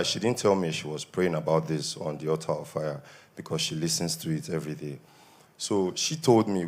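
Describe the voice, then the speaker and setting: A young Nigerian man with a Nigerian accent gives an earnest testimony at a church service, his voice a little worn from shouting. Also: a bass voice. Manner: earnest, grows more emphatic. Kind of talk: spoken testimony.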